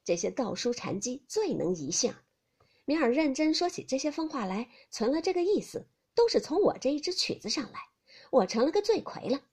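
Woman acting poised educated young lady, audiobook, emphatic, raised pitch, very lively intonation. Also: fairly quick. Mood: alarmed, self-reproaching. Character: poised, learned young lady, animated and self-reproaching.

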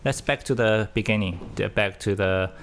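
Low-voiced hesitant male interviewer. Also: normal speed, a little hiss or hum. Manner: hesitant, fills with "okay, okay". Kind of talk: casual interview.

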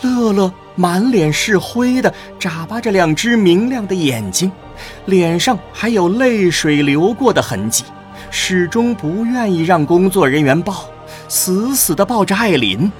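A man's voice: high-pitched, expressive, unhurried, faint music behind. A man narrating an audio drama from a script in a dramatic storytelling style.

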